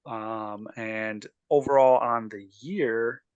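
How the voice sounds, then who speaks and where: A man's voice: mid-range voice, fairly even intonation, takes it slowly, clear, resonant tone, no room sound, dull audio. A man hosting a casual sports podcast, talking loosely with lots of ums and restarts. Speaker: podcast host.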